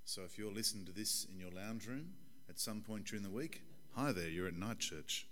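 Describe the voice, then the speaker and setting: A man in his forties, a pastor with a croaky, hoarse voice, giving a conversational and earnest sermon in a church. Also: fairly low pitch, fairly quick, a bit bright, slight background noise. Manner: conversational, earnest preaching with fillers. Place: church hall.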